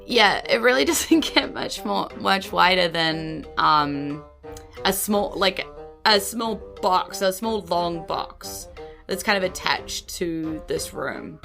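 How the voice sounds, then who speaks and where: A woman's voice: fairly low pitch, expressive, slow pace, vocal fry, fairly bright tone, soft background music. A woman improvising narration as a game master, casual and a little hesitant as she thinks aloud. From New Zealand.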